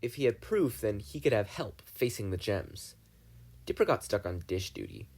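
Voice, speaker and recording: A man narrates a fan fiction story in a fairly low voice. His reading is expressive and dramatic, with pitch that rises and falls a great deal. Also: moderate pace, slightly rough. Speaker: podfic reader.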